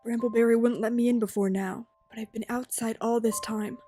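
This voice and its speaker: A woman's voice: on the high side, fairly even intonation, a little fast, faint music behind, lightly acted. A woman voicing a grieving male warrior cat. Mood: anxious. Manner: pleading then yelling in anguish.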